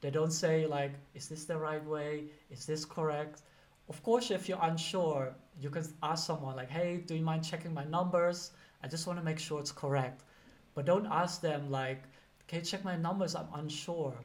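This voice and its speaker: A man's voice: a little high, steady tone, normal speed. A man with a Dutch accent, a teacher giving motivational career advice in a loose, conversational way, full of "you know" and "like".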